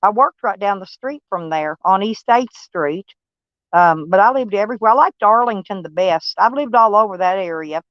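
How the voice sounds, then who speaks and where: Live-stream host: a blunt, folksy Texas-accented woman, smooth voice, dull lo-fi audio. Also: medium pitch, lively intonation, normal speed, no echo. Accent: Texan. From Kentucky, USA. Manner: rambling, folksy, blunt and swearing. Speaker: livestream host. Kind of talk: casual live-stream chat with viewers.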